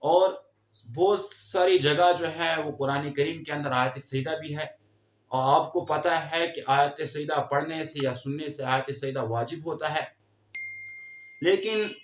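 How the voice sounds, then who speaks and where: A man giving a religious explainer talk as an Islamic teacher, in a measured, didactic way. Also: fairly high pitch. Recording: echoey room, dull muffled sound.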